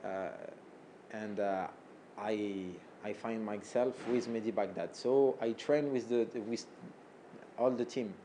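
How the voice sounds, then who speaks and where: Man from France, native French speaker, interviewee; hesitant with many fillers, warm dark tone. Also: lowish voice, slight background noise. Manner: halting, many fillers and restarts. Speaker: fighter being interviewed. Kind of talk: interview answers in second language.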